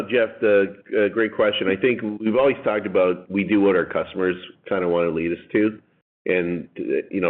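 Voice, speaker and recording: A man speaking as a company executive on an earnings conference call, heard over a narrow phone line. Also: sits low. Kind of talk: prepared financial remarks and analyst answers. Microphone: telephone conference line.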